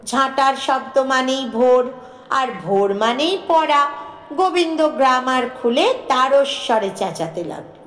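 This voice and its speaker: A woman narrating a bedtime story in an expressive, unhurried way. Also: sits high. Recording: roomy, echoing voice recording.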